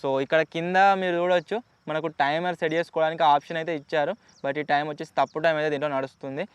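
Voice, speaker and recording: Man, Telugu accent, high clear voice, fast pace, chatty vlog host, explanatory, English words mixed in. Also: little pitch movement, dry recording. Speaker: YouTube host. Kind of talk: casual unboxing and testing vlog.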